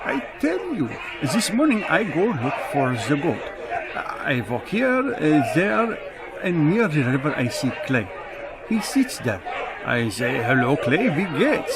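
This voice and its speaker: A flustered farmer, played by a man, pleads in halting, broken English, his voice swinging wildly up and down. It is a western radio play, with a loud crowd and heavy noise around him. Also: a little high, very animated, creaky, heavily acted. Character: flustered immigrant farmer known as the Dutchman, protesting innocence. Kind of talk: old-time western radio drama acting. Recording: noisy, with loud crowd din.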